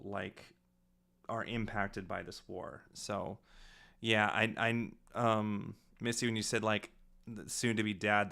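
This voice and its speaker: A man with a fairly low voice hosts a casual director's commentary. He talks in a halting, reflective, rambling way, as on a relaxed live stream. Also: smooth. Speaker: audio drama director and stream host.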